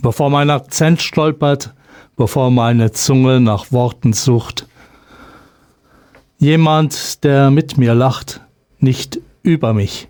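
Man reading a poem really slowly, medium pitch, short phrases w pauses. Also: a little mellow, smooth. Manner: measured, pausing after short phrases. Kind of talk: spoken word poetry recital.

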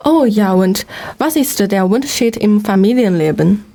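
A woman's voice: medium pitch, animated, normal speed, mellow. A woman co-hosting a radio interview with prepared questions. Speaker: co-host of a radio show.

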